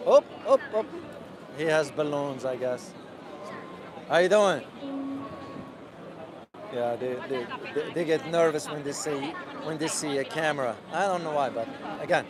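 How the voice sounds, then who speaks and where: A man vlogging as he walks a city street outdoors, talking loudly and passionately while answering viewers' questions. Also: fairly high pitch. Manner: loud and passionate. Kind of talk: casual walking vlog answering live questions. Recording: crowded outdoor street noise. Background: street crowd.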